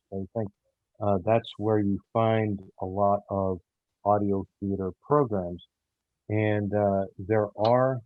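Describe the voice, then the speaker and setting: A man answering interview questions about a radio show, speaking in a halting way with repeated words. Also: fairly low pitch, dry recording. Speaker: audio theater director and producer, guest. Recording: muffled, phone-like, narrow-band line.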